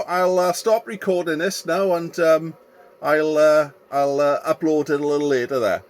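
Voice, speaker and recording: Welsh man, hesitant, slightly higher-pitched voice. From Wales. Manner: hesitant, repeats himself. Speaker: CB radio operator. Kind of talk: casual radio contact chat.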